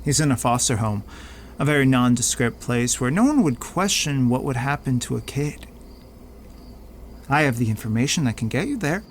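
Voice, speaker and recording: An actor playing a smug, menacing crime lord in an audio drama, pompous and taunting with drawn-out mock politeness. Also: male voice, medium pitch, animated, a little hiss or hum, natural voice. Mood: coolly persuasive. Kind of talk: audio drama villain acting.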